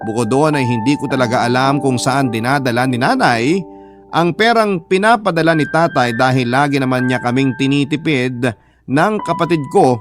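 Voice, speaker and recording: An actor with a Filipino accent playing a devoted elder sibling, narrating a sad life story in an earnest, confiding way. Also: male voice, mid-range voice, natural voice. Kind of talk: first-person dramatic radio narration.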